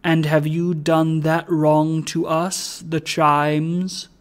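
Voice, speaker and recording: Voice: flat delivery, very slow. A narrator voicing a stern, ancient goblin spirit of a great bell in a storybook, speaking in grave, accusing oratory. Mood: stern reproach. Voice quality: low, deep.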